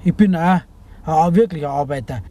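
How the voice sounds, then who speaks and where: A man's voice: fairly high pitch, a little fast, heavy background noise. A man, a truck driver giving his opinions casually. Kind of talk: casual opinion in an original-sound radio collage.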